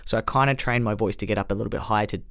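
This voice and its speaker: A man's voice: on the low side, steady tone, very fast, a bit bright, no echo. A young man co-hosting a podcast, talkative and explaining things at length. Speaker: podcast co-host and teacher. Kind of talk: casual chat.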